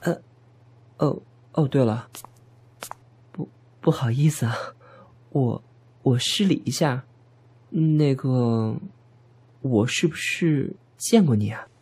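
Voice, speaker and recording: A man playing an earnest, easily flustered young job seeker in an audio drama, with an expressive delivery. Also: medium pitch, slow pace, pauses often, breathy edge. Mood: nervous, flustered. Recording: music behind the voice. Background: background music.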